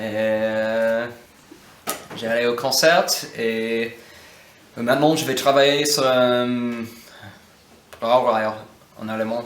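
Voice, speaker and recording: American man speaking halting learner's French. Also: mid-range voice, fairly bright tone. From United States. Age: 20s. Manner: halting, searches for words. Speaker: interviewee. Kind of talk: casual interview answers. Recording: echoey room, faint noise.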